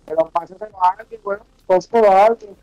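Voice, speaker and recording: A man's voice: high voice, fast, dark tone, lo-fi sound. A man with a Venezuelan accent chatting casually while gaming, giving slangy, repetitive instructions in a bossy way. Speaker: gamer livestreamer. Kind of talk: casual chat while playing an online game.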